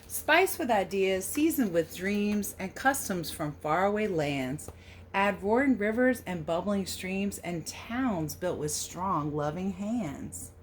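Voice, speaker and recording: A woman teacher reading a picture book aloud to children, expressive and sing-song, with casual questioning asides. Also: mid-range voice, slight background noise. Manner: animated, questioning, sing-song verse delivery.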